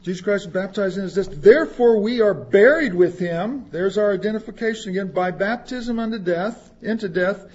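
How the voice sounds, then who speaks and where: Husky preacher guy, slow and high, reads scripture then chats it over, muffled lo-fi sound. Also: a little mellow. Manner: reads scripture aloud then explains it plainly, joking aside with the congregation. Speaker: pastor. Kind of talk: sermon.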